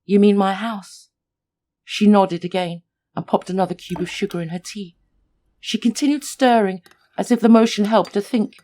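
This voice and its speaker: A woman's voice: medium pitch, fairly even intonation, moderate pace, mellow. A woman narrating a scripted first-person horror story. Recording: music under the voice.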